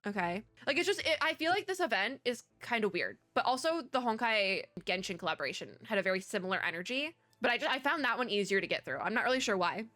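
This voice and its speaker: A woman's voice: fairly high pitch, expressive, fast, hardly any pauses, pushed and bright, dry recording. A young woman VTuber giving casual, rambling gaming commentary, sniffly and losing her voice. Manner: chatty, rambling, giggly. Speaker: VTuber streamer.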